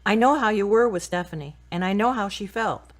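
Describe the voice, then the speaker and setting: A woman's voice: mid-range voice, fairly quick, natural voice. A woman playing a candid, teasing grown sister in an audio drama.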